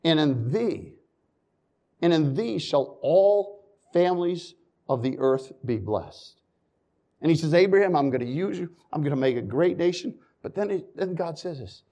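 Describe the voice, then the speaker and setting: A male preacher delivering a sermon in a fairly high voice. He reads scripture in old-fashioned phrasing, then drops to quiet, folksy asides before building back to loud emphasis.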